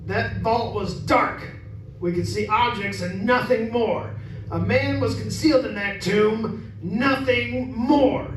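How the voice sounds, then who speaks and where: Man acting a high-voiced, stubborn radio drama hero. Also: slow pace. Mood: accusing, insistent. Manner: emphatic, argumentative. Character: stubborn, proud, scornful master of a haunted house. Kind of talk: 1930s radio horror acting. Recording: echoey room, distant mic, faint noise.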